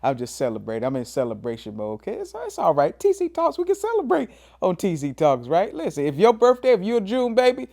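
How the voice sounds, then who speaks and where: A man's voice: huge pitch swings, a little fast, gravelly, darkish tone. A man of fifty, a pastor hosting his own motivational talk, emphatic and preacherly. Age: 50s. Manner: preacherly, emphatic, swings pitch up and down for emphasis, says "man" often. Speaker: pastor and show host.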